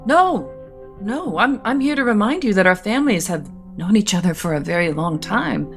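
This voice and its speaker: A woman's voice: mid-range voice, big pitch swings, moderate pace, faint music behind. A middle-aged woman playing an imperious, disapproving wealthy mother in an audio soap opera, with a formal, scolding manner. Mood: reproachful. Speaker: actor.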